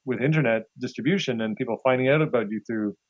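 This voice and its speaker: A man's voice: mid-range voice, fast talker, no echo. A man speaking casually as an interview guest, telling anecdotes and acting out what people say. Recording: slightly dull, lo-fi audio.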